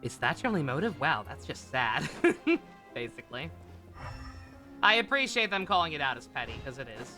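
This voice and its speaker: Bright-voiced man, casual game streamer. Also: on the high side, moderate pace, faint music behind. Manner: rambling, animated reactions. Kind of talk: casual live commentary.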